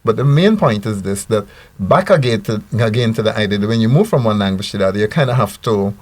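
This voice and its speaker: An older man talks on a studio panel. His voice sits fairly low. He lays out a point with examples, often restarting and checking in with "right?". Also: expressive, fast talker, a little mellow. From Guyana. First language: Guyanese Creole. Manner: explains with examples, many restarts and tag questions. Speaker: linguistics professor, guest.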